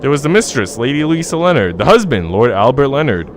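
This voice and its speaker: A man's voice: mid-range voice, sing-song, a little fast, a little hiss or hum. A man live streaming in a joking, teasing, slangy way, switching between casual chat and reading story narration aloud. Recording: background music under voice. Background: music.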